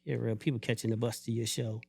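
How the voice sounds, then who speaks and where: A man co-hosting a podcast in casual chat, animated and joking, ranting and teasing his co-host. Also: mid-range voice, fast, creaky, breathy edge, dry recording. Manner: animated, joking, rants and teases.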